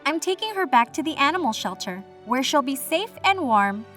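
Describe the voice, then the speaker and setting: Woman as animal control officer, kind, reassuring, higher-than-average pitch, children's story. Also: big pitch swings, moderate pace, a bit bright, soft background music. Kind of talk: children's comic read-aloud.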